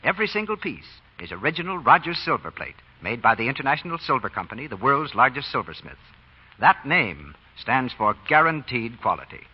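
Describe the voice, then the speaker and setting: A man's voice: mid-range voice, big pitch swings, fairly quick, slightly rough. A man with an American accent announcing and selling in polished 1940s radio advertising style. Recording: dull old radio broadcast recording.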